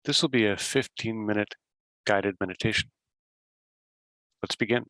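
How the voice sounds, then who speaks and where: A man leading a guided meditation as host, giving simple instructions in short phrases with long pauses. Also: on the low side, a bit bright, no echo.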